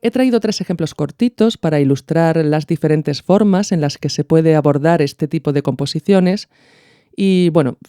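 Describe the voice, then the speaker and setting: A man's voice: a little high, dark tone, clear, resonant tone, dry recording, clean studio sound. A man from hosting a podcast, explaining in a didactic, narrated style. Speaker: podcast host. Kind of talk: explanatory narration of radio art.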